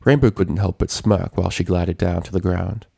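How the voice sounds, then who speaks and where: A man with a deep, creaky voice narrates a story from a script. The recording is close and dry, with very little room sound. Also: steady tone, normal speed, warm tone, dry recording. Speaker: fan fiction reader.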